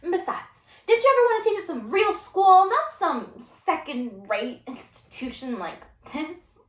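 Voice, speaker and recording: Casting: woman as sassy unruly schoolgirl for solo stage drama, very lively high voice, defiant and flippant, echoey room. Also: a little slow. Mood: taunting. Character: brash, slangy, unruly young female student. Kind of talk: solo dramatic interpretation performance.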